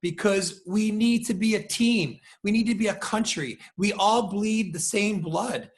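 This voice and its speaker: A middle-aged American man, a salon owner, talking in an animated, emphatic way as an interview guest over a video call. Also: sits high, a little slow. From United States. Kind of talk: casual interview chat.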